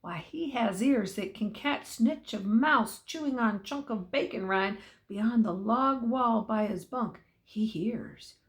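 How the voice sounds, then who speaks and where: A woman's voice: a little high, slow pace. An older woman narrating a story aloud in a folksy, dramatic way, drawing out key words.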